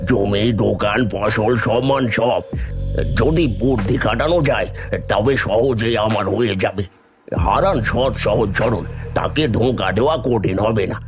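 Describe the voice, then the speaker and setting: A man plays an envious cartoon villain, a poor villager with a lowish voice. He grumbles and schemes bitterly over clear background music. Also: measured pace, natural voice. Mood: scheming. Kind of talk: animated moral story dialogue.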